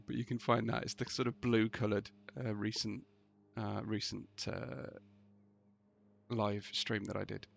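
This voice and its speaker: A man with a tired, gravelly voice commentating a sim racing live stream, chatting between bursts of race calls. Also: on the low side, highs cut off. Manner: chatty, laughing asides, excitable during race action.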